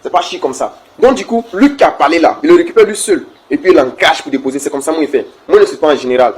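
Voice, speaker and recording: A man's voice: lively intonation, fast, slight background noise. A young man with an Ivorian French accent, raised in Côte d'Ivoire, giving a heated, repetitive rant on a video he recorded himself. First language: Burkina Faso roots, raised in Côte d'Ivoire. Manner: heated, repetitive, insistent, swings up in pitch when worked up. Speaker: TikTok video maker. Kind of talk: impassioned self-recorded rant.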